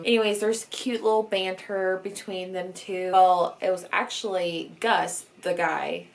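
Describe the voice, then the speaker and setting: A young adult woman chatting informally in a casual reading vlog, recorded indoors at home. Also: medium pitch. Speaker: book vlogger.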